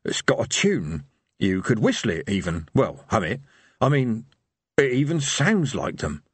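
Storybook role: male reader as hoarse little dark lord, gruff but soft-hearted, lively intonation. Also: fairly low pitch, unhurried, no room sound, full character voice. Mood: gruff, moved. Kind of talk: audiobook character voice.

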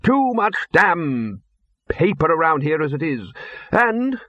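A man reading an audiobook voices a gruff, blunt old male wizard chief who barks short phrases. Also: fairly high pitch, big pitch swings, slow pace, dry recording, phone-quality sound, pitched above the performer's own voice. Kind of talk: audiobook character voice.

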